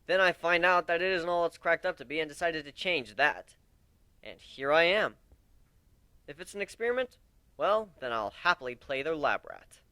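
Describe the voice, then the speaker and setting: An earnest, nerdy young griffin, played by a man in a dramatic story reading. He rambles in a high, very animated voice, full of self-deprecation. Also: normal speed, smooth, dry recording. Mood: earnest, bitter.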